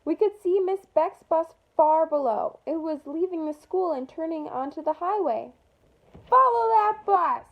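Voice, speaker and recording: A woman with a high voice reads a children's picture book aloud. Her delivery is animated and expressive, with small asides about the pictures. The recording is slightly dull and lo-fi. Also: moderate pace, few pauses. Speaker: storytime reader for children.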